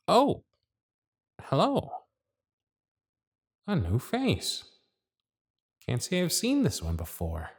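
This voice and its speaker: A man's voice: fairly high pitch, no room sound, clean studio sound, exaggerated voice. A man voicing a harried, grumpy male elf supervisor in an audio roleplay, sarcastic and scolding. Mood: curious. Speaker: workshop supervisor.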